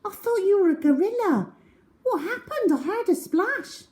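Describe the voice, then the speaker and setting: Woman voicing a high-pitched, anxious young girl. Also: big pitch swings, normal speed, darkish tone. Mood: surprised, impressed. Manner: breathless, exclaiming, anxious. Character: worried, frightened young girl without her glasses.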